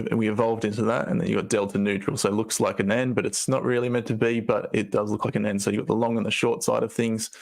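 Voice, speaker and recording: Croaky low-voiced man presenting. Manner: loose, rambling, with fillers and restarts. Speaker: co-founder presenting update. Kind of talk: casual presentation.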